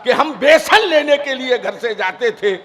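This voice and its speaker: A man's voice: very high voice, animated, a little slow, a bit bright. A man performing comic poetry-gathering banter as compere, teasing and declaiming to a live audience. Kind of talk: live stage comedy and introductions to an audience. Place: large event stage before an audience. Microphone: stage microphone. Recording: hall reverb from a stage PA.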